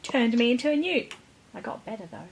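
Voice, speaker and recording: A woman's voice: fairly high pitch, very animated, fairly quick, slight background noise. A woman co-hosting a podcast, chatting casually and cracking wry jokes. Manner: wry, joking. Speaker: podcast co-host. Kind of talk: casual comedic chat.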